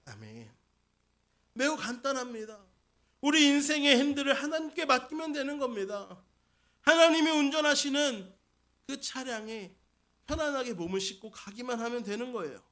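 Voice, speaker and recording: A man's voice: very high-pitched, sing-song, normal speed, fairly bright tone. A man preaching a Sunday sermon as senior pastor, putting questions to the congregation and repeating key points for emphasis. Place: church service.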